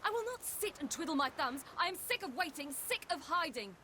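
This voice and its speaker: A woman's voice: high voice, sing-song, brisk, sharp tone, a little hiss or hum, exaggerated voice. An actress playing a stubborn, frustrated young woman with magic powers in a fantasy game, headstrong and outspoken. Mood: angry, defiant. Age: young adult. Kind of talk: video game voice acting.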